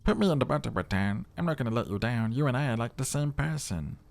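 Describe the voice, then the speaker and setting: Talking necktie for a game read, played by a man: pushy party buddy, higher-pitched, creaky. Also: animated, fast talker, darkish tone. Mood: coaxing, gleeful. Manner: coaxing, eager.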